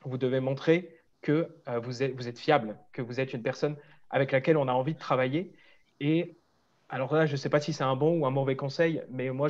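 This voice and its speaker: A man's voice: mid-range voice, darkish tone, lo-fi sound. A man on an online panel giving earnest advice about job interviews.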